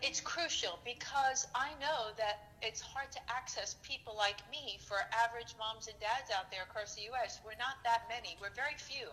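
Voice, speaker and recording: A middle-aged woman pediatrician speaks over a phone line in a lively, animated way. She laughs often and acts out what she says to others. Her voice is bright and slightly high, with a faint hiss behind it. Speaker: pediatrician guest. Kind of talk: phone interview, animated storytelling.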